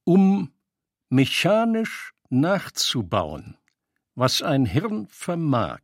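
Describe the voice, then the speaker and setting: A man reading scripted literary narration for a radio piece in a deliberate, measured delivery. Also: on the high side, wildly swooping pitch, dry recording, clean studio sound.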